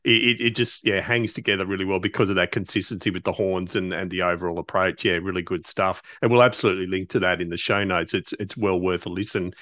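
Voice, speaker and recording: A man's voice: low voice, fairly even intonation, quick pace, few pauses, a bit bright, no room sound, studio quality. A man hosting a casual interview, speaking with enthusiasm and often doubling back on his words. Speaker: interviewer, podcast host.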